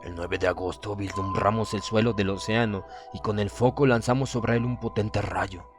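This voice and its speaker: A man narrating a horror audiobook in the first person, reading solemnly and deliberately with theatrical emphasis. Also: on the low side, steady tone. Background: music.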